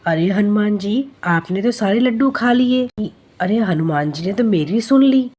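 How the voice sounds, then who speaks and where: A woman's voice: medium pitch, animated, unhurried, darkish tone. A woman voicing an earnest, naive young male woodcutter in a cartoon story. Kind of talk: Hindi cartoon story dubbing.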